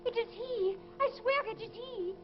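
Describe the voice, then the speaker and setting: An actress playing a gentle, hesitant young French daughter with a French accent in a radio drama. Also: female voice, very high-pitched, normal speed, over music. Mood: frightened. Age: young adult. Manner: polite, demure, hesitant. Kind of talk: 1940s radio drama acting. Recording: dull, noisy old radio broadcast.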